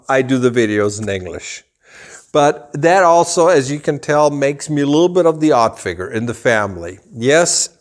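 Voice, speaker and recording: A man in his forties, raised in Germany, a rug dealer explaining things to camera in a showroom, slow and deliberate with gestures. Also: medium pitch. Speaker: rug dealer and channel host. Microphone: camera at a few meters, full body framing.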